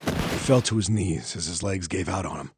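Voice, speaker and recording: A man's voice: deep voice, moderate pace, background noise. An actor playing a haunted man who painfully narrates a traumatic memory in a video game. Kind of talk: dramatic first-person game narration.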